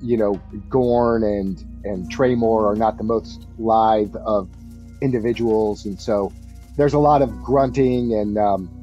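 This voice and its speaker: A man's voice: on the low side, slow pace, mellow. Dull-sounding male game master over music. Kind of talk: narrating a tabletop game, casual. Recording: dull lo-fi audio, music underneath. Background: background music.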